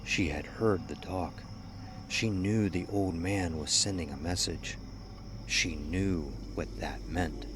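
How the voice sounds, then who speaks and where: A man narrating a scripted horror story in a measured, ominous, dramatic storytelling manner. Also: sits low, noisy background. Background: music under the voice.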